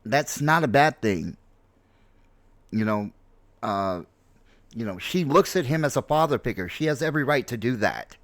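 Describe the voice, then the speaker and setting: A man in his late forties giving casual, unscripted opinion commentary on a game, halting but emphatic, repeating phrases for stress. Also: medium pitch, lively intonation, fairly bright tone, no echo. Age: late 40s. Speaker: gaming video host and streamer.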